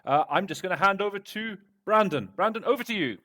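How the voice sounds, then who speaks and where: A man's voice: high-pitched, expressive, a little fast, bright, forceful tone. A man hosting an online interpreting tutorial, chatty and self-deprecating, with many fillers and restarts. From Britain. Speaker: host. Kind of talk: casual tutorial introduction.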